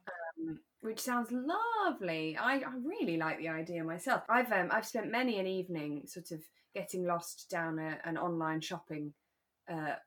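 A woman co-hosting a podcast, chatting casually and reflectively about a radio drama, often seeking agreement. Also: lowish voice. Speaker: podcast co-host.

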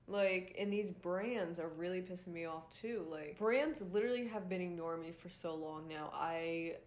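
A young woman with a congested, nasal voice hosts her own podcast, venting in a loose, frustrated monologue packed with fillers and swearing. She comes from Rhode Island, and her voice sits a bit low for a woman. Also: moderate pace. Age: young adult. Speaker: podcast host. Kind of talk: casual ranting monologue.

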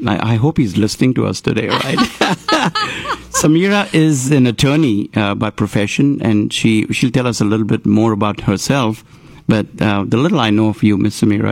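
A man's voice: medium pitch, gravelly, no room sound. A man who grew up in Houston, hosting a community talk show and interviewing a guest in an earnest, rambling way with many restarts.

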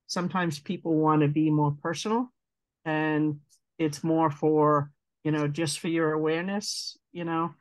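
A woman with a deep voice, a guest in an interview, speaking earnestly and thoughtfully in long winding sentences. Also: no room sound. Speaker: guest, advocate. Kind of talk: reflective interview conversation.

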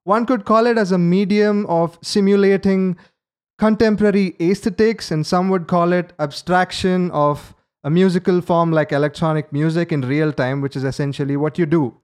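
A man with a notably high voice hosts an online interview. He speaks formally, reading a prepared introduction and posing long, careful questions over a video call. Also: steady tone, normal speed, darkish tone, clear, resonant tone. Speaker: interview host.

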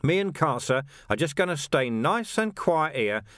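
A hard-bitten male police commander who growls out short, stern commands and gives no ground, as the male reader plays him. The voice stays close to the reader's own but lifts with tension, and the delivery swings with lively intonation. Dry, close recording. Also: fairly high pitch, moderate pace, no echo, pitched above the performer's own voice. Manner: growling, curt. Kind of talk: audiobook character voice.

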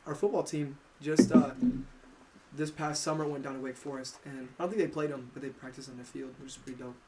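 Fast-talking young man, casual podcast chat. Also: mid-range voice, flat delivery, a loud crowd. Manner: chatty, laughs easily, storytelling. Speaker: podcast co-host.

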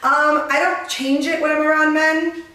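A young woman co-hosting a podcast in casual chat, with a raspy, fairly monotone voice and a blunt, slangy way of talking. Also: sits high, moderate pace. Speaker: podcast co-host. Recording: echoey room, mic at a distance.

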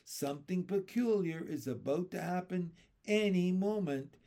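A man's voice: sits high, measured pace, mellow. A man voicing a timid, sad boy. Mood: hushed.

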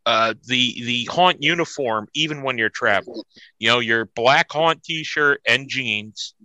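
A man chatting casually in an animated way, telling little stories and acting out quotes. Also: mid-range voice, unhurried, dry recording, low-quality recording. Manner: animated storyteller, acts out quotes. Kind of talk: casual chat.

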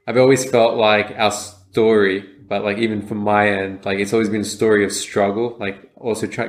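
Young male podcast co-host, lowish pitch, thoughtful and halting delivery. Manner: reflective, many fillers and restarts. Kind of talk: casual chat.

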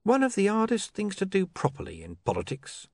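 Man playing smooth, cynical, higher-voiced crook. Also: big pitch swings, measured pace, dry recording, clean studio sound. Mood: weary exasperation. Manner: smooth, sardonic, condescending. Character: smooth, cynical, exasperated male criminal schemer. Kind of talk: audiobook character voice.

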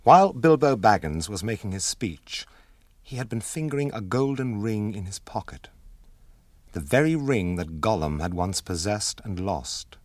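A man's voice: fairly low pitch, animated, moderate pace, darkish tone, no room sound, close to an everyday voice. A man reading scripted story narration as the narrator of a radio drama.

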